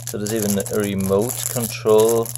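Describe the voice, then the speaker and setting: A man's voice: on the low side, flat delivery, unhurried, mellow, slight background noise. A man giving a casual, unscripted product unboxing commentary, thinking aloud in a hesitant, rambling way. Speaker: product reviewer.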